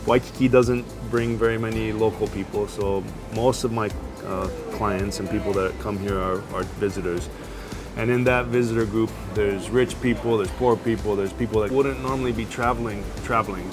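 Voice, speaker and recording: A man's voice: lowish voice, fairly even intonation, normal speed. Casual man with local slang. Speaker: interviewee who works with visitors. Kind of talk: interview talk. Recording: music bed underneath.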